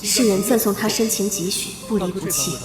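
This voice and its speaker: A woman's voice: medium pitch, steady tone, fairly quick, close to an everyday voice. Casting: young noblewoman played by a woman, solemn and resolute period-drama narrator, echoey with music behind. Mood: bitter. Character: reborn young noblewoman, composed and resolved on revenge. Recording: echoey long reverb over loud music.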